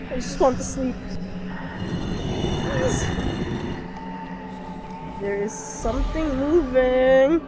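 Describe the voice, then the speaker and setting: An anxious young heroine from a horror visual novel, read out by a woman, talks herself calm in a high voice. Her delivery is slow, with music clearly heard behind her. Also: exaggerated voice, low-quality recording. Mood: pleading, self-soothing.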